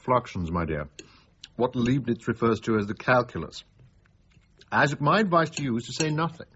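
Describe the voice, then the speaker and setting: An actor playing an urbane, reasonable lord with a genteel, placating manner in a period radio drama. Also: male voice, mid-range voice, lively intonation, normal speed, fairly bright tone, breathy edge, dull audio. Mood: placating, exasperated. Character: urbane, patrician lord, reasonable peacemaking friend.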